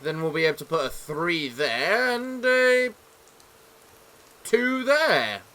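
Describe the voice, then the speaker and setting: A young English man giving casual let's-play commentary in a theatrical gentleman persona, a little sick, with a voice that sounds off. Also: a little high, expressive, slow. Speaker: gaming YouTuber.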